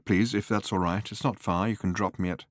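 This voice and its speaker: A man voicing a young Englishwoman in an audiobook, brisk, self-possessed and politely guarded, with an English accent. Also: lowish voice, steady tone, dry recording, studio quality, natural voice.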